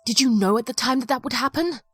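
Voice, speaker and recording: An actress playing a powerful, intense ancient stone mage in a fantasy audio drama, earnest and direct, with a husky voice. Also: female voice, fairly high pitch, fast talker, a bit bright. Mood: questioning.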